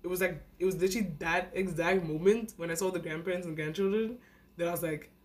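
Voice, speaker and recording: A young woman from South Africa, a podcast guest, telling a personal story in a casual, animated way with lots of fillers. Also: lowish voice, quick pace. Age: young adult. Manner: animated, acts out her younger self.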